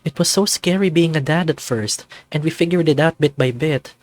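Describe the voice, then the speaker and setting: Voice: mid-range voice, moderate pace, a little mellow, voice pushed up, close to an everyday voice. A performer voicing an anxious, emotional middle-aged dad in a visual novel, earnest and fretful. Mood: sad, vulnerable.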